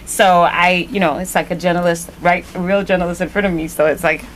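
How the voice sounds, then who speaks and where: A woman's voice: fairly low pitch, fairly even intonation, a little fast, a little hiss or hum, poor audio. A woman hosting a TV interview show, asking long, chatty questions full of fillers. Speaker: host.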